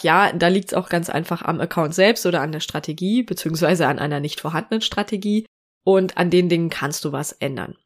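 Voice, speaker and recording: A woman hosts her own podcast on social media, her voice sitting slightly low and a little nasal from a cold. She talks calmly and reassuringly, addressing the listener directly. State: has a cold, speaks a bit through the nose. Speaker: podcast host. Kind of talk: solo podcast talk on social media marketing.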